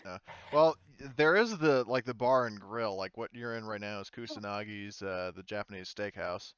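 A man's voice: lowish voice, normal speed, a bit bright, clear, resonant tone, no echo, lo-fi sound. A man running a tabletop roleplaying game as game master, chatting casually with players over a voice call.

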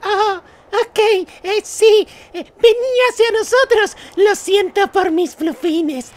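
A man's voice: extremely high pitch, slow pace, very noisy. A man voicing a small, timid bird advisor to royalty in a cartoon, hesitant, formal and deferential. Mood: nervous.

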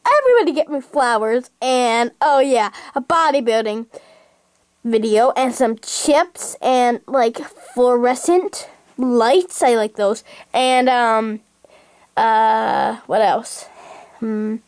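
A woman's voice: fairly high pitch, expressive, takes it slowly, no echo. A woman playing a vain, self-important man who makes pompous, rambling demands in an amateur comic skit. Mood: bossy, self-satisfied.

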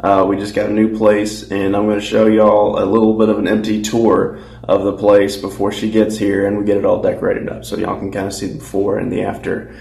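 A man's voice: fairly low pitch, completely flat delivery, a little fast, gravelly, few pauses, darkish tone. An American man in his twenties giving a casual, laid-back vlog tour, talking informally into a handheld phone indoors. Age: 20s. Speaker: vlogger. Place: empty apartment rooms indoors. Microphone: handheld phone at arm's length.

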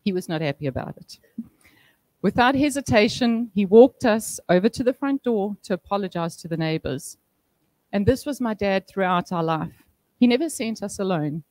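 A woman's voice: medium pitch, expressive, moderate pace, darkish tone, no room sound, poor audio. Woman in her thirties, eulogy at a memorial, heartfelt with light humor, dry close handheld mic. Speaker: daughter giving a eulogy. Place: indoor function room at a memorial service. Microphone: handheld microphone.

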